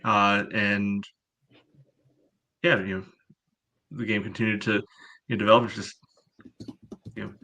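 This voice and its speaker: Male podcast co-host, bright forceful tone, little pitch movement, casual game analysis. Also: flat delivery, unhurried, pauses often. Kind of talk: casual match analysis chat.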